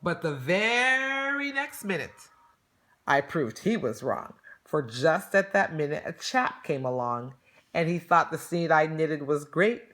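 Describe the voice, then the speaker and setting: A woman reading a rhyming children's story aloud in an expressive, dramatic and emphatic way. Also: low-pitched, a little slow. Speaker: storybook reader.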